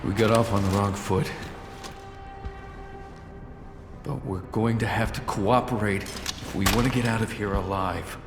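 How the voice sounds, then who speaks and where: An actor playing a tough, wary, pragmatic armed operative in a video game, speaking in a measured, hard-edged and persuasive way. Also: male voice, lowish voice, close to an everyday voice. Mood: tense negotiating. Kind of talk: video game cutscene acting. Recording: echoey hall with long reverb, music behind.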